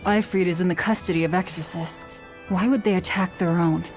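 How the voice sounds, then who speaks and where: A woman's voice: lowish voice, fairly even intonation, fairly quick, soft background music, lightly acted. An actress playing a grim, determined young woman in a video game, terse and commanding. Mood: stern, terse. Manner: terse, stern, cool. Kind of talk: English video game voice acting.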